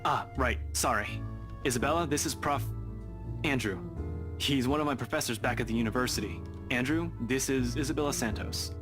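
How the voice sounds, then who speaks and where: A man's voice: mid-range voice, fairly quick, natural voice. An actor playing a teasing young male detective in a visual novel game, brusque but familiar. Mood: polite, familiar. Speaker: video game character. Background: music.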